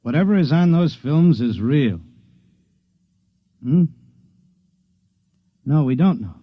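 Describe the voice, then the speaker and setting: Fbi agent, firm, clipped, medium pitch, dry, lo-fi 1950s radio. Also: male voice, expressive, takes it slowly, dry recording. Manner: firm, clipped, matter-of-fact. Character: businesslike male FBI agent. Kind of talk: 1950s radio drama acting.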